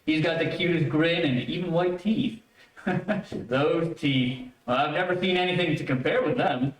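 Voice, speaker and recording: A man's voice: a little high, unhurried, close to an everyday voice. A man playing an eager, easily exasperated matchmaking father in an old-time radio comedy. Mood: eager, chuckling. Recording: echoey room, distant mic.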